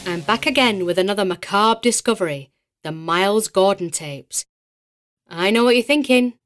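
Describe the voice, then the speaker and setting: A woman playing a poised podcast host of eerie mysteries in an audio drama, with a measured, articulate, theatrical delivery. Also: lowish voice, close to an everyday voice. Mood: intrigued, teasing. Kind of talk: scripted podcast narration.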